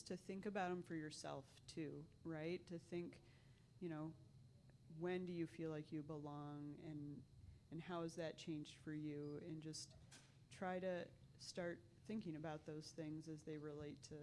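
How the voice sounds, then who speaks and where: A woman moderating a panel, measured as she poses questions to the panelists and the audience. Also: deep voice, fairly even intonation, a little mellow, a little hiss or hum.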